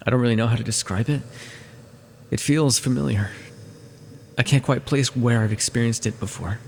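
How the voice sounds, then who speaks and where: A man playing an ancient, possessive godlike villain in an intimate ASMR audio roleplay, patient and condescending, with menacing tenderness and a gravelly, husky voice. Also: mid-range voice, moderate pace, slight background noise, close to an everyday voice. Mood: uneasy, possessive. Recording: long reverberant echo.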